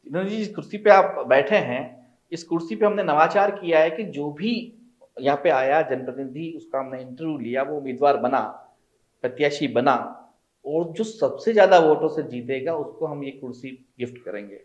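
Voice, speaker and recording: A man's voice: on the high side, moderate pace, roomy sound, highs cut off. A man asking probing, conversational questions as the interviewer.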